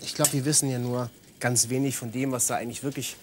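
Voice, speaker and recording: A man's voice: mid-range voice, level tone, fast, background noise. A man in his forties from Italian-speaking Switzerland, a native Italian speaker, chatting hesitantly with many fillers while cooking as a studio guest. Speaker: guest cook. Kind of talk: casual cooking chat. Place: TV studio kitchen.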